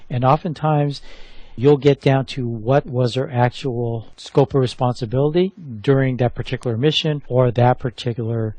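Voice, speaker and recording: A man presents a training course in a mellow, relaxed voice at a middle pitch. He explains methodically, laying out his points in order like an experienced hiring instructor. The sound is dry, with no room around it. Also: no echo.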